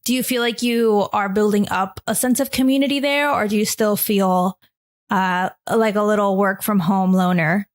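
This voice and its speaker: A woman's voice: mid-range voice, steady tone, normal speed. A woman hosting a podcast, speaking in an informal, chatty way as presenter and interviewer. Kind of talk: scripted podcast intro and casual interview chat.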